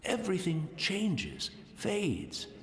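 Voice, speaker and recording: A man voicing a gentle, humble blind tea master in an anime video game, deferential, wistful and philosophical. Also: high voice, wildly swooping pitch, slow pace, slight background noise, full character voice. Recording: roomy echo, faint background ambience.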